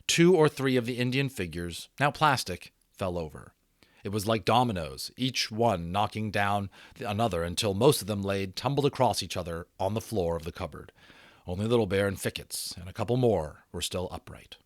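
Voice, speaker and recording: A man giving a dramatic audiobook reading, expressive and full of theatrical pauses. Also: lowish voice, no echo. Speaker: storyteller. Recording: dry close recording.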